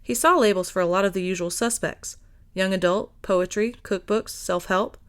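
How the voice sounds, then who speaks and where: A woman's voice: mid-range voice, normal speed, smooth. A woman reading a story aloud as scripted narration. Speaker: podfic reader.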